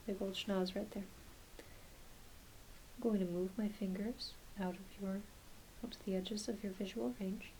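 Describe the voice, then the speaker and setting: Soft whispering woman playing a kindly doctor, ASMR exam roleplay, unhurried, reassuring, faint hiss. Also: medium pitch. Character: gentle, reassuring werewolf doctor giving an exam. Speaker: ASMR performer.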